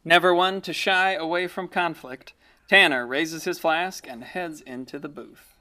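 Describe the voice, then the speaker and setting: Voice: medium pitch, steady tone, measured pace, sharp tone. An actor narrating a radio play as a folksy, wistful small-town storyteller. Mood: wry.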